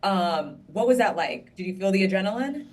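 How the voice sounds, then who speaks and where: A woman's voice: mid-range voice, animated, normal speed. A woman interviewing actors at a press junket, chatty and upbeat in her questions. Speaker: entertainment interviewer.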